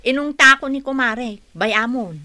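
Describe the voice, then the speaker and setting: Bright, high scolding neighbour. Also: female voice, a little slow, no echo. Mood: annoyed. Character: scolding, proud neighbour woman, easily annoyed. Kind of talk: radio drama acting.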